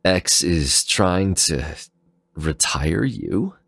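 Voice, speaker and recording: A man voicing an amnesiac android warrior who sounds bewildered, gentle and sincere, hesitant and wondering, in a dramatic fan fiction reading. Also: low voice, expressive, extremely slow, gravelly, no room sound, clean studio sound, full character voice. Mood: puzzled.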